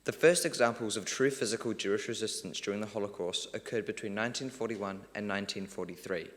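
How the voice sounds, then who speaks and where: A teenage boy from New Zealand reading a prepared formal speech as a student prize winner. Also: on the low side. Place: large parliament hall, speaking to an event. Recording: long hall reverb.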